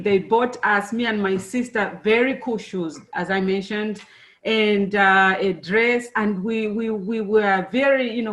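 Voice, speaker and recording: A woman's voice: medium pitch, fairly bright tone. Zambian-born woman speaking to students. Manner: friendly, unhurried, many fillers. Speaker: engineer giving a talk to students. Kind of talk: presentation to students.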